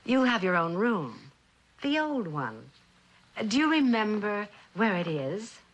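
An actress playing a composed middle-aged mother searching for her lost daughter, measured and questioning, in a vintage television suspense drama. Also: medium pitch, very animated, lo-fi sound. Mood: careful, searching. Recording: noisy vintage TV soundtrack.

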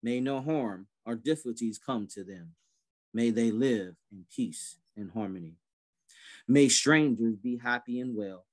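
A man's voice: medium pitch, a little slow. A man in his thirties hosting an online prayer event over a video call, talking in an easygoing way with many fillers and restarts. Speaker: event host.

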